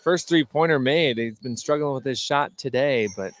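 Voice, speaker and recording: A man's voice: medium pitch, moderate pace, a little hiss or hum. A man giving unscripted color analysis during a live basketball broadcast, conversational and feeling his way through his phrasing. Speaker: color commentator.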